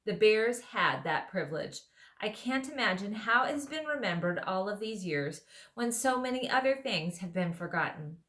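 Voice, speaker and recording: A woman voicing a young male king who speaks gently and with grave courtesy. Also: mid-range voice, expressive, normal speed, pitched above the performer's own voice, close to an everyday voice. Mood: grave courteous.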